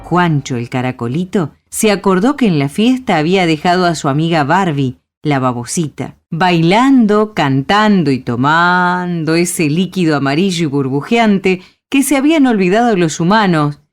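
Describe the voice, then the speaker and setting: Low-voiced Argentine woman storyteller. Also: animated, normal speed, few pauses. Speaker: narrator. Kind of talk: storybook radio play narration.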